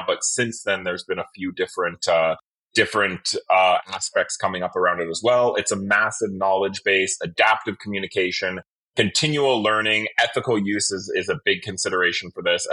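A man's voice: deep voice, pushed and bright, breathy edge, poor audio. A man hosting a podcast interview in a conversational style. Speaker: podcast host.

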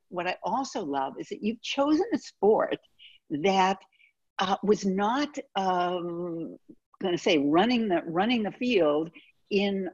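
Husky woman host, dull lo-fi sound. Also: mid-range voice, a little mellow, no room sound. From Boston, USA. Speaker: interviewer. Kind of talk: casual interview chat.

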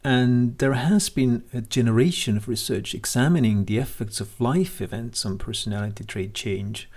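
A man hosting a podcast, speaking in a measured way and setting up questions for his guests. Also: medium pitch, darkish tone. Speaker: podcast host.

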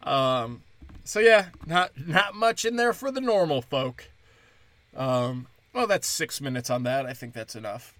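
A young man, a stand-up comedian, rambling crudely and mockingly through a solo podcast, breaking into high mimicking voices. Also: expressive, a little slow, fairly bright tone, dry recording.

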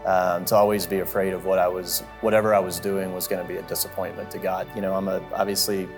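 Deep, flat-voiced man over guitar. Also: fast talker, gravelly. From Central Florida. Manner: soft-spoken, hesitant, many ums. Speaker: church member giving testimony. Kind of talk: testimony interview. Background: guitar music.

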